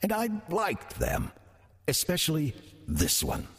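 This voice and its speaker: An actor playing a sly, ancient demon posing as an old church usher, wry and sardonic, with an American accent, in an audio drama. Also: male voice, a little high, wildly swooping pitch, husky, faint music behind, full character voice. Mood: wry confiding. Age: older. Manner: wry, sardonic, deliberate storytelling. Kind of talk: audio drama first-person narration.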